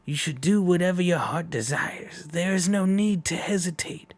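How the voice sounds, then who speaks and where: A man voicing a solemn fortune teller with a slow, hushed, portentous delivery in a manga read-along. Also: high-pitched. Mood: solemn assurance. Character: solemn fortune teller promising great luck.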